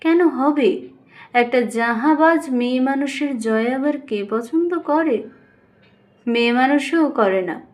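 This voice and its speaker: A woman narrating literary fiction as an audiobook reader, in an expressive storytelling manner. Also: high-pitched.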